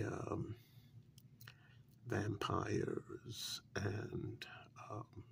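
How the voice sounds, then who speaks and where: Casting: male actor as timid, deferential manservant for ASMR role-play; hushed, breathy, heavy vocal fry, unhurried and halting delivery. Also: on the low side, a little hiss or hum, heavily acted. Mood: polite, hesitant. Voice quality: breathy, half-whispered, creaky soft voice. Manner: hesitant, halting, politely apologetic. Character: deferential, anxious male servant planning a monsters' ball.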